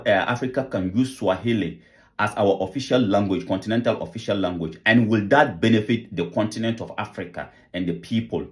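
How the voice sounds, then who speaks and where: Low-voiced guy preaching earnestly to his viewers, lots of rhetorical questions. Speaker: channel host. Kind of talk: vlog commentary, appeal to viewers.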